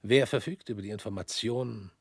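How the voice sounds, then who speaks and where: A husky, brooding ex-official. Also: male voice, on the low side, dry recording, studio quality. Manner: measured, brooding, often sinking to a whisper. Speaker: radio drama actor. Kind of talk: radio play acting.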